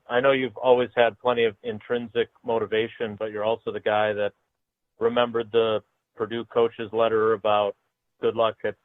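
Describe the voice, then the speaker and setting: A man with a fairly low voice asks a reporter's question in full, prepared sentences. The line is phone-like and dry, with little room around him. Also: dry recording. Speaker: sports reporter. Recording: thin phone-like call, dry.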